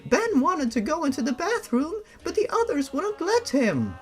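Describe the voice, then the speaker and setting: A man's voice: very high-pitched, very animated, normal speed, pitched above the performer's own voice. A man voicing a sweet, eager little ghost girl with childlike, simple phrasing.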